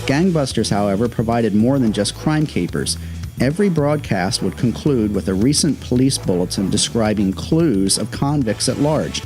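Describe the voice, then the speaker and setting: A male host with a medium-pitched voice reads scripted historical commentary. Music plays behind him as he narrates. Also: expressive, normal speed, darkish tone.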